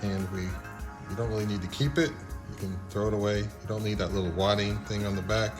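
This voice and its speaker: A man hosting a video, explaining things casually in an unhurried, self-deprecating way. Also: on the low side, steady tone, over music. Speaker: video host.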